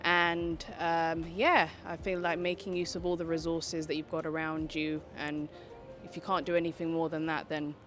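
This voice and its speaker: A woman in her twenties gives casual interview answers. Her pitch is a little low for a woman, and music plays faintly behind her. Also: flat delivery, normal speed, hardly any pauses, a bit bright. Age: 20s. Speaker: street interviewee. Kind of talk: casual opinion talk.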